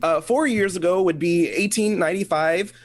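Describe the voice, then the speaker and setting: A man's voice: high voice, normal speed, natural voice. A man playing a flustered, obliging French Foreign Legion colonel in an amateur radio play, with hesitant, fumbling delivery. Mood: flustered, searching.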